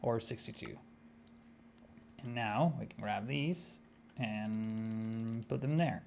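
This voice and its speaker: Man, Argentine accent, native Spanish speaker, gravelly voice, easygoing stream chatter, lots of ums and uhs. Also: lowish voice, slow pace, a little hiss or hum. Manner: relaxed, thinking aloud, many fillers. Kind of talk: live-streamed gameplay chat.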